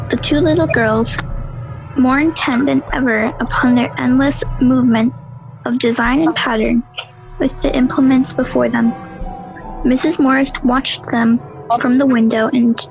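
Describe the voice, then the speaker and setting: A woman reading scripted story narration as a calm storyteller in an amateur audio drama. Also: sits high, measured pace, creaky, faint music behind, low-quality recording. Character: calm storyteller setting an uneasy suburban scene.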